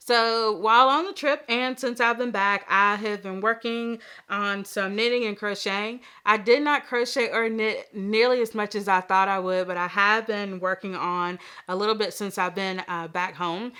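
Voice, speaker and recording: A young woman hosts her own vlog in easy, rambling chat, a little stuffy-nosed from allergies. Her voice is bright and forward, and she keeps it crisp and smooth between frequent little laughs and fillers. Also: mid-range voice. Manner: chatty, laughs often, many fillers. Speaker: vlog host. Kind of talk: casual chat.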